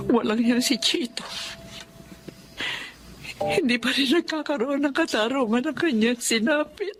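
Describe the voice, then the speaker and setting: A woman's voice: fairly high pitch, natural voice. A woman playing a grieving, bitter old mother in a Filipino radio drama, mournful and emphatic.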